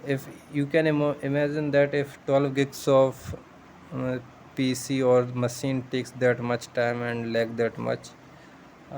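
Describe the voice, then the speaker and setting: A man talks through a programming tutorial in an even, level tone. He goes at an unhurried pace, explaining each step patiently and thinking out loud. English is not his first language. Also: mid-range voice, vocal fry. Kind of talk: screen-share coding tutorial.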